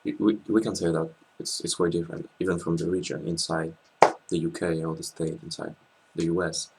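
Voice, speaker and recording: Deep, gravelly French-accented young man. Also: little pitch movement, a little fast, very noisy. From France. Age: 20s. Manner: hesitant, many ums and restarts, joking. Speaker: guest. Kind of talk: casual interview chat. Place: small indoor room. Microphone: laptop webcam.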